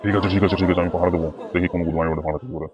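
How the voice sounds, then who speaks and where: Deep, very fast, crude village man, acted. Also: fairly even intonation, highs cut off, crowd noise, natural voice. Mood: blustering. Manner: blustering, coarse, swearing. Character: crude, hot-tempered, lustful village man. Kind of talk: comedy cartoon dialogue. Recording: music behind the voice. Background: music.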